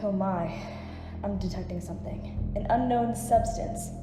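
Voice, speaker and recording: A woman's voice: medium pitch, measured pace, close to an everyday voice. A woman playing a stern starship officer in a sci-fi audio drama. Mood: alarmed. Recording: echoey reverb with clear music behind. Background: music.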